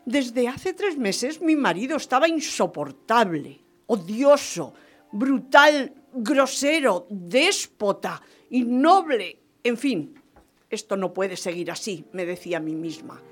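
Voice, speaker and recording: Exuberant, high-voiced scheming marquise. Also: female voice, big pitch swings, slow pace, soft background music, heavily acted. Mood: conspiratorial, indignant. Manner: theatrical, gushing, repeating phrases for emphasis. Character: exuberant, scheming, vengeful French marquise, gleeful about her marriage. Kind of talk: radio theatre acting of a period story.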